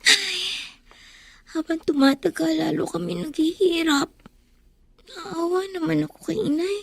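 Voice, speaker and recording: A woman's voice: extremely high pitch, unhurried, creaky, a bit bright, full character voice. A woman acting a poor, hungry, dutiful young student thinking aloud plaintively in a melodramatic radio drama. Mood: sad, resolute.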